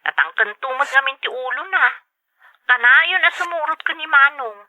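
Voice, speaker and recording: A woman's voice: high-pitched, animated, normal speed, pushed and bright, full character voice. A woman playing an affectionate younger sweetheart of an absent man, in radio-drama style over a telephone filter. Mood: fond, confiding.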